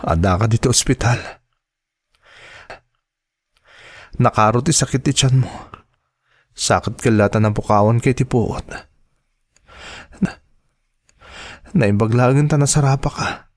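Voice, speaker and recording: A man's voice: medium pitch, normal speed, pauses often, dry recording, studio quality, natural voice. A man with an Ilocano accent playing a worried, emotional husband in a radio drama, delivered melodramatically. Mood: worried, soothing. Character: worried husband breaking painful news to his wife.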